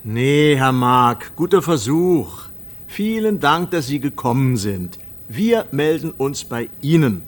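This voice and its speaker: A man's voice: medium pitch, sing-song, takes it slowly. An actor playing a condescending, dismissive man in authority in a radio play, with a mock-polite, patronizing manner. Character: condescending male doctor or examiner, brusquely dismissive. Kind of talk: scripted radio play acting.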